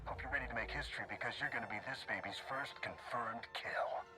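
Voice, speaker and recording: A male actor as a swaggering sci-fi game villain. He talks fast in a mid-range voice, gloating and folksy, over prominent music. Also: mid-range voice, natural voice. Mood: gloating, menacing. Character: swaggering, taunting villain with a war machine. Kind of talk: video game voice acting.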